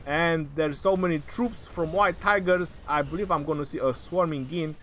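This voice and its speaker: Male live-stream game caster, a touch high, excitable and jokey, voice turning hoarse, light hiss behind. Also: normal speed. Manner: excitable, joking play-by-play. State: voice going hoarse. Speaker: game match commentator.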